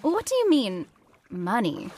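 High-voiced, anxious young woman, acted by a woman. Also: wildly swooping pitch, measured pace, a little mellow, slight background noise. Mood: confused, indignant. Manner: pleading, questioning, dramatic. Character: anxious, insistent young woman seeking family secrets. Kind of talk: old-time radio horror acting.

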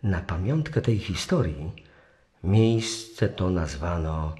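A man's voice: sits low, expressive, takes it slowly. A man narrating a legend as the scripted storyteller of a radio play. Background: music.

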